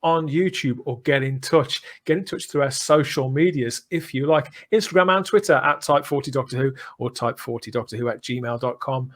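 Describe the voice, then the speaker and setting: A man's voice: medium pitch, normal speed, few pauses. A man hosting a podcast, chatting enthusiastically with effusive, theatrical turns of phrase. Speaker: podcast host. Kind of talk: enthusiastic podcast chat and sign-off.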